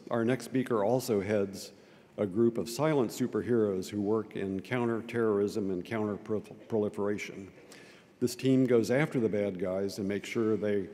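Older man, low mellow voice at a lectern. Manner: measured, reading from notes, explains simply for young listeners. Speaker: event speaker introducing a guest. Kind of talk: formal introduction speech. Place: large auditorium stage at a lectern. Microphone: lectern microphone. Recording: long auditorium reverb.

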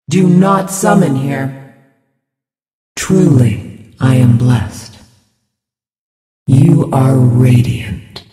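A serene, echoing spirit-healer. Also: male voice, medium pitch. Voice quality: calm, measured, sermon-like. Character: serene, solemn spirit-healer of a mystic order. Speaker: game character voice. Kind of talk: game voice lines. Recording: echoey with long reverb, distant.

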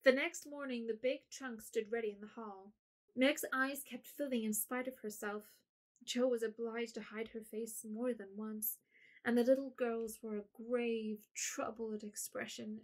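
Expressive woman narrating a story. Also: on the high side, normal speed.

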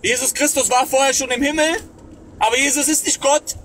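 A man's voice: very high voice, fairly even intonation, brisk, fairly bright tone, slight background noise. A man in his thirties hosting a livestream, talking in a sarcastic, scornful way and reading the chat aloud, recorded close on a phone. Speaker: livestream host. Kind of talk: mocking livestream commentary. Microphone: phone camera close up.

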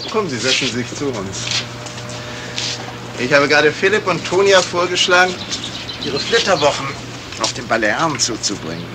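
Urbane gentleman detective. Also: on the high side, very animated, normal speed, pauses often. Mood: courteous, probing. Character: shrewd, courteous gentleman detective, probing suspects. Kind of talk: 1970s German radio crime drama acting. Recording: noisy vintage radio play recording.

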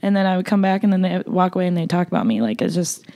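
A young woman, a podcast guest, chatting bluntly and loudly with lots of "like" fillers. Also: lowish voice, lively intonation, racing. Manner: loud, blunt, frequent "like" fillers. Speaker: podcast guest, makeup artist. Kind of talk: casual interview chat.

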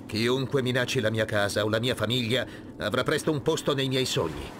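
A man's voice: medium pitch, animated, fairly quick, background noise, close to an everyday voice. A man voicing a weary, proud veteran admiral hero in a video game cutscene. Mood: weary, resolute.

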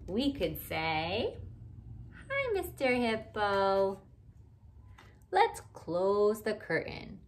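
Very slow, sing-song woman reading to children. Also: mid-range voice, big pitch swings, a little hiss or hum. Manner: simple, exaggerated child-directed speech with questions and short phrases. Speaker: children's teacher reading a picture book aloud. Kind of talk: interactive read-aloud for young children. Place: living room at home, indoors.

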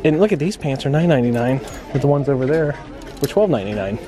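A man vlogging a shopping trip, chatting casually and reading out prices as he films in a quiet clothing store. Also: mid-range voice, animated, fast, crowd noise, background noise. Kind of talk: casual chat.

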